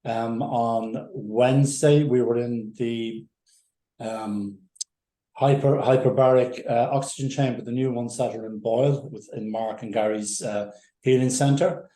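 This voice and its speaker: A man co-hosting a casual chat, speaking in a hesitant, halting way. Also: mid-range voice, fairly even intonation, warm tone. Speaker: co-host. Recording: dull-toned, echoing room.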